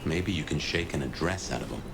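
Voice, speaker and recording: A man's voice: a bass voice, expressive, a little fast, exaggerated voice. An actor voicing a wry, laid-back male vampire detective in a video game, with a dry, casual delivery. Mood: dryly sardonic. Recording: clear ambient noise behind.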